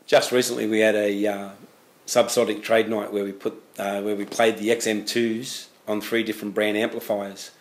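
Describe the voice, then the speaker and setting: Hesitant man with a lowish voice. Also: level tone, normal speed. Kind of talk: unscripted testimonial.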